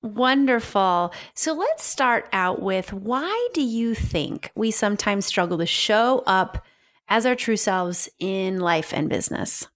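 A woman's voice: mid-range voice, sing-song, slow. A woman hosting an interview podcast, introducing and questioning a guest. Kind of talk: scripted intro, then friendly interview.

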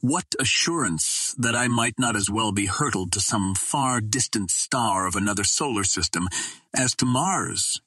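A man reading an old adventure novel as an audiobook narrator, in a measured, dramatic storytelling manner. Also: on the low side, sharp tone, no room sound.

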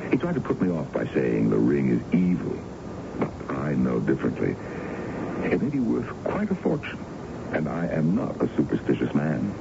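An actor playing a suave, confident businessman in a 1970s American radio drama, with a smooth, persuasive manner. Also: extremely low pitch, very animated, moderate pace, heavily acted. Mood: assured, persuasive. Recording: dull, noisy 1970s radio broadcast.

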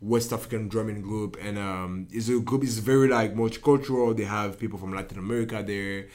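A man's voice: on the low side, a little fast, darkish tone. A man, chatting casually as an interview guest in loose slang, often restarting his sentences. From Cameroon. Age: early 20s. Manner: loose slangy talk with lots of "like", "yo" and "bro". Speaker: guest, dance instructor.

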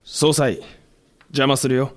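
An actor playing a blunt, proud samurai in a historical audio drama, speaking in a terse, questioning manner. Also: male voice, mid-range voice, little pitch movement, slow pace, slight background noise, lightly acted. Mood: guarded. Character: blunt, proud samurai warrior wary of foreign tactics.

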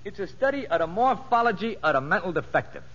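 An actor playing a wily, wisecracking safecracker convict in a 1950s radio drama, talking streetwise slang with mock-earnest innocence. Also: male voice, high-pitched, very animated, fast, fairly bright tone, slight background noise. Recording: muffled vintage radio broadcast.

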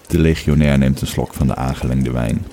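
Male narrator for audio drama, scripted delivery, very deep and mellow. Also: brisk.

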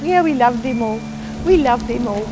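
A woman's voice: fairly high pitch, lively intonation, normal speed, over music. A woman animal rescuer talking unscripted, as in an interview.

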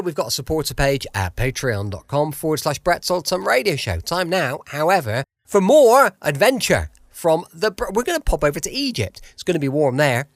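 English male podcast host, informal rambling chat, expressive pitch, dry home sound. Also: dry recording. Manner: informal, repeating himself. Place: at home, close dry recording.

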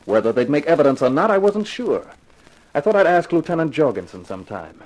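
Wry hard-boiled radio detective. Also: male voice, fairly high pitch, big pitch swings, brisk, a little mellow. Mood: wry narration. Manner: wry, slangy, tough. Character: hard-boiled wisecracking male private detective narrating his case. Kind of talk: 1950s radio detective drama acting. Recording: noisy vintage radio recording.

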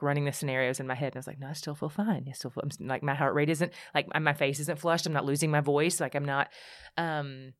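A woman speaking candidly as a podcast guest, telling her story in animated bursts with frequent restarts. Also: sits low, no echo, studio quality. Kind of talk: casual conversation.

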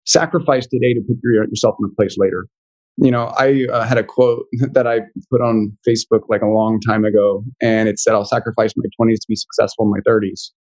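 A man's voice: fairly low pitch, fairly even intonation, brisk, darkish tone, muffled recording. A man guesting on a podcast as a financial coach, talking earnestly and thinking aloud as he goes. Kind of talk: casual interview chat.

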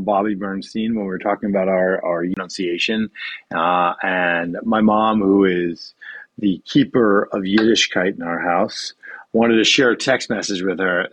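A middle-aged man co-hosting a podcast, chatting in a halting, easygoing way. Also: on the low side, fairly bright tone, husky, dry recording, poor audio. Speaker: podcast co-host. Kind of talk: casual podcast chat.

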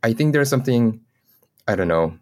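A man's voice: mid-range voice, animated, moderate pace, darkish tone. A man from South Korea speaking as an interview guest, conversational, with hesitant, tentative phrasing.

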